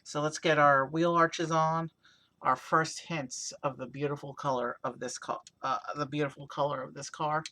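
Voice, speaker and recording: A hoarse man with a raspy voice casually narrating a toy car build on a stream, rambling with many fillers. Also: a little high, fairly even intonation, moderate pace, pushed and bright. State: hoarse from overuse. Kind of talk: casual live-stream commentary.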